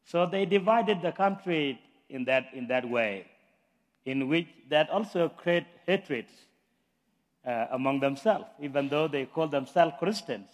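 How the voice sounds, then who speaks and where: A man's voice: on the high side, a little slow, long reverb tail. A man from South Sudan, a guest pastor speaking earnestly to a church congregation. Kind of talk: church talk. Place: church sanctuary.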